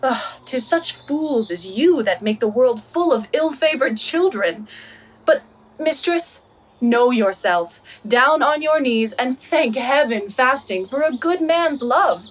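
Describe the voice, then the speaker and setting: A young woman playing a bold, witty young heroine in a Shakespearean radio play, brisk and mocking. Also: high-pitched, lively intonation, a little hiss or hum. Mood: scornful, mocking. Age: young adult. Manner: brisk, mocking, declamatory verse and prose.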